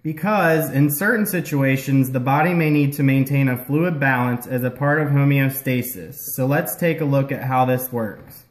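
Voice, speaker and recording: A man's voice: medium pitch, moderate pace, hardly any pauses, close to an everyday voice. A man playing a patient, explanatory health-care puppet in a scripted educational show. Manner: explanatory, instructional. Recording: roomy echo.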